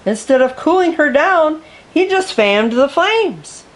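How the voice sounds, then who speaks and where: Slow expressive woman reading a picture book. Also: sits high. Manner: expressive, explains words for children. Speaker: storyteller.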